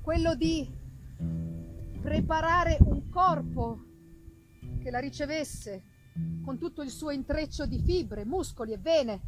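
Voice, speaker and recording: A woman playing an obsessed male scientist in a dramatic gothic novel reading, theatrical and emphatic, with drawn-out words and dramatic pauses. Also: high voice, music in the background, rough recording. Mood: brooding, intense. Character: obsessed male scientist confessing his dangerous discovery, exalted and haunted. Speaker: stage reader. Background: live musical accompaniment.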